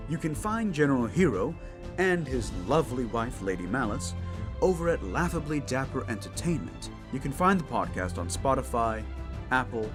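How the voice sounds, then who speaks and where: A man, the creator and performer of the show, speaking casually to his listeners in an outro. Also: mid-range voice.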